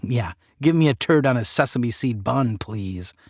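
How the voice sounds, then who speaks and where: A man portrays a moody young working guy telling his own story in an audiobook. His delivery is sardonic and muttered, with lively swings in pitch. The recording is close and dry. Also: unhurried, no room sound. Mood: bitter, sardonic.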